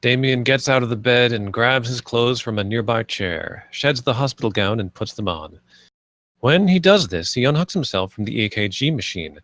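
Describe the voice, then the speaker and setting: A man's voice: medium pitch, animated, moderate pace, fairly bright tone, dry recording. A man reading stage directions as the narrator of a screenplay table read.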